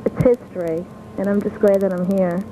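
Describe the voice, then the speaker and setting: Young female bystander, medium pitch, shy brief answers, simple wording, noisy outdoor radio recording. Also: fairly even intonation, normal speed. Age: young adult. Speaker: bystanders interviewed on the street. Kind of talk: brief unrehearsed interview answers. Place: outdoors at an airport.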